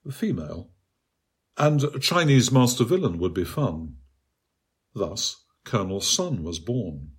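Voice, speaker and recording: A man narrates a written literary essay in a low voice with a slightly husky grain. His delivery is measured and precise, with the bookish phrasing of an author reading his own article.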